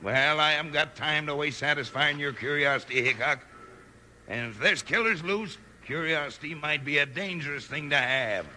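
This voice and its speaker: Harsh, barking western villain boss. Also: male voice, on the high side, moderate pace. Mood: menacing. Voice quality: forceful, hard-edged. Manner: barking, curt, menacing. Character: gruff, bullying frontier trading boss, villainous and impatient. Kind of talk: 1950s radio western acting. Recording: noisy 1950s radio recording.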